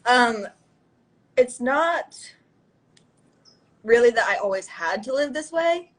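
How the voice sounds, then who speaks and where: A woman's voice: on the high side, animated, slow. A young adult woman talking as a guest in a casual interview, rambling with lots of "like" and "you know". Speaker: guest musician.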